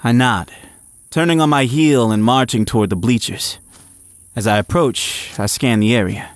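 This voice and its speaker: An actor playing an eager, frazzled young male athlete, narrating his own story in an audio drama with expressive, at times hesitant delivery. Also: medium pitch, normal speed, no echo, natural voice. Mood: curious, awkward. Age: young adult. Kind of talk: first-person audio drama narration and dialogue.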